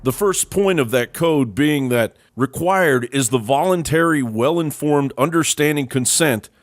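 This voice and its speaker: A man's voice: fairly high pitch, unhurried, dry recording, studio quality. A man reading a scripted news report as narrator, with a grave, accusatory delivery.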